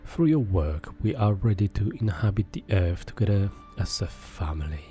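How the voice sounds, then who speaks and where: A man voicing an alien creature posing as a father, speaking in a calm, reassuring way. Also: lowish voice, normal speed, faint music behind.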